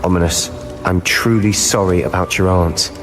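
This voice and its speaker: A man's voice: low-pitched, steady tone, moderate pace, music in the background, natural voice. An actor playing a bold, bookish young male wizard student in a video game, speaking. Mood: determined. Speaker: game character.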